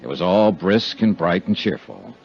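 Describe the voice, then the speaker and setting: A man's voice: sits low, big pitch swings, moderate pace, creaky, a little mellow, full character voice. A man playing a tough, wry insurance investigator in a 1950s radio drama, speaking and narrating in a hard-boiled manner. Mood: brooding. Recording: muffled 1950s radio recording with music.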